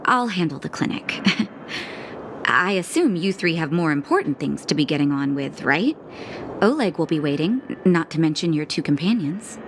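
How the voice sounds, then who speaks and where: A woman playing a kindly, composed clinic keeper in a video game, with a gentle, caring way of talking. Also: low-pitched, lively intonation, moderate pace, noisy background. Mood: reassuring. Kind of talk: video game cutscene acting.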